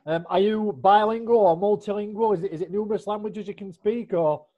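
A man's voice: high-pitched, brisk. A man hosting a casual interview, asking long, rambling questions. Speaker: podcast host. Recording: dull, lo-fi sound.

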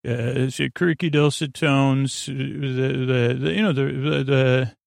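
Male sleep-podcast host, creaky fry, rambling and halting delivery, mid-pitched, dry booth sound. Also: steady tone, no echo. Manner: meandering, halting, full of restarts and tangents. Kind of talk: rambling late-night monologue.

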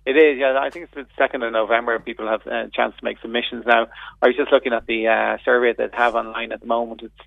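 An Irish man talking fast and earnestly down a tinny phone line, with a gravelly edge to his voice. The sound is dry and phone-like. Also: mid-range voice, level tone, no echo. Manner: earnest, explanatory, many fillers and restarts. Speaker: radio guest, education advocate. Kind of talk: phone-in radio discussion.

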